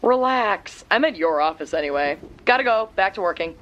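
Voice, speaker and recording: An actress playing a matter-of-fact young woman who handles lab and research work, speaking briskly. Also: mid-range voice, animated, a bit bright, close to an everyday voice. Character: quick, techy young woman friend doing lab research. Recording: dull, lo-fi sound.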